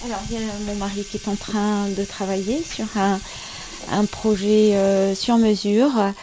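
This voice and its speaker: Unhurried middle-aged woman from Morocco. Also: medium pitch, flat delivery, background noise. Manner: measured and unhurried, pausing often to find words. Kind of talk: casual interview, explaining her trade.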